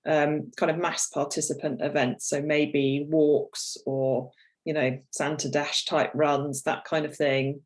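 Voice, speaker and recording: A woman hosts an online webinar, her voice a little croaky from a cold. She chats through the details at an easy pace, filling pauses with ums and the odd cleared throat, heard through a video call mic. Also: sits low. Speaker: webinar host. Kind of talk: presenting a product webinar.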